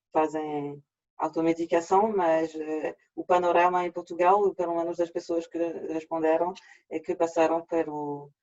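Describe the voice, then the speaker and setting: A man with a French accent, native French speaker, presenting an online workshop in a halting way with frequent restarts, over a video call. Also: a little high, mellow. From France. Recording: dull lo-fi video call audio.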